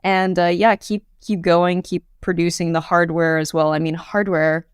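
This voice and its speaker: A young adult woman as a guest on a podcast interview, talking casually with lots of fillers and quick laughs. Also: fairly low pitch, little pitch movement, clear, resonant tone, no room sound, clean studio sound. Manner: chatty, many fillers, laughs often. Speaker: guest, quantum hardware startup.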